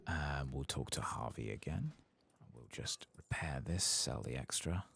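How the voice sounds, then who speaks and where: A man giving soft-spoken ASMR game commentary in a gentle, breathy murmur that often drops toward a whisper, close to the microphone. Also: rumbling low, animated, unhurried, slight background noise. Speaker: game streamer.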